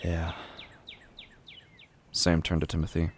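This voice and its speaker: A man narrating an audio drama in a measured, deliberate, literary way with a gravelly voice. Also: a bass voice, level tone, slight background noise.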